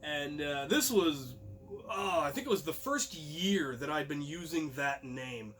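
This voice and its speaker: Higher-voiced man telling a casual story. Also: lively intonation, a little slow, fairly bright tone, a little hiss or hum. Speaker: host and storyteller. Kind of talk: casual first-person storytelling to viewers.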